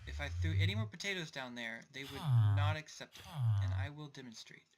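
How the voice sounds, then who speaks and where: A young man casually narrating his game as he plays, rambling and talking to himself, his voice going a little hoarse. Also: medium pitch, sing-song, fairly quick, noisy background. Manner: rambling, self-talking, distracted asides. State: voice getting hoarse. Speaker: let's play host. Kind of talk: casual gameplay commentary.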